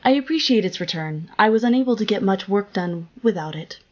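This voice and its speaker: A woman's voice: medium pitch, expressive, brisk, darkish tone, muffled recording, lightly acted. Woman playing a stiff, formal newcomer. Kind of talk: audio drama acting.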